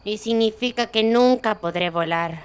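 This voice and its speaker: A woman's voice: medium pitch, normal speed, clear, resonant tone, lightly acted. A woman with a Latin American Spanish accent voicing a young, hesitant but plucky girl bat pony in a cartoon comic fan dub. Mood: hesitant, recalling. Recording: music under the voice.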